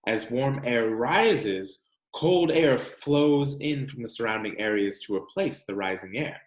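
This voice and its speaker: Man reading aloud expressively, roomy sound. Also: on the low side. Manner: expressive, explanatory, addressing children directly. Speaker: teacher reading aloud to students. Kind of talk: read-aloud of a children's science book with explanatory asides.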